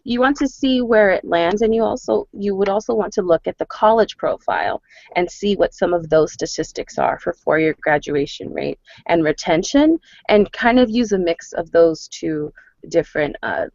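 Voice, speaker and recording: Low-voiced woman on a dull call line. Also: normal speed, gravelly, darkish tone, dry recording. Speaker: college advisor on a panel. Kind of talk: answering questions on a conference call.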